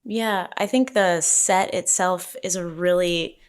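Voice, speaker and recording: Low-voiced woman, thoughtful discussion. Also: slow pace, clear, resonant tone. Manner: thoughtful, explanatory, informal. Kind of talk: casual analytical discussion of a script.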